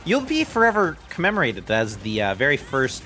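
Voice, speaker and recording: A young man hosting a game stream, giving lively, excitable play-by-play and laughing often with his co-host. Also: medium pitch, fairly quick, soft background music. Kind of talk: casual game commentary.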